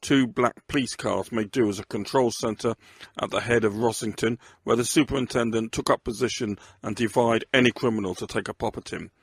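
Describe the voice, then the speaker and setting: A man's voice: mid-range voice, steady tone, brisk, husky, no room sound, studio quality. A man reading scripted first-person narration of a crime story.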